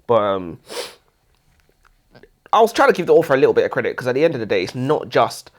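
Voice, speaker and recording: An animated British man in a casual book discussion. His pitch moves around a lot as he argues his opinions bluntly and informally, in the role of a co-host. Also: fast. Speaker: book review podcast co-host.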